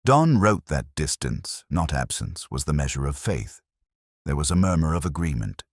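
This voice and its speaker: A man narrating a literary mystery in the first person, in a measured, melancholy and solemn way. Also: very deep voice, sing-song, breathy edge, no echo, studio quality.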